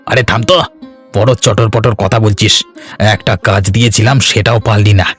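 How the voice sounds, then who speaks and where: A man's voice: on the low side, fairly even intonation, normal speed, fairly bright tone, husky, faint music behind. A man playing a greedy, shameless village father in a cartoon, berating and sneering as he talks. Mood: scornful, berating. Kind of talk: cartoon voice acting.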